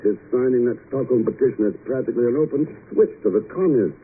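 A man's voice: mid-range voice, quick pace, lightly acted. Determined male spy hero acted by a man, understated delivery, vintage radio drama, narrow noisy recording. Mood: puzzled. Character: thoughtful, determined male secret agent. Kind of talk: 1950s radio acting.

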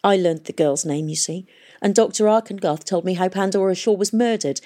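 A woman's voice: lowish voice, fairly quick, dark tone, natural voice. A woman reading the part of a young woman who bitterly accuses her father of murder.

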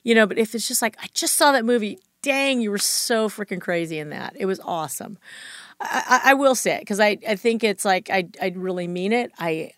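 A woman's voice: mid-range voice, animated, fairly quick, a bit bright, no echo, studio quality. A woman chatting casually as an interview guest, telling anecdotes in an effusive, informal way and often trailing off mid-sentence.

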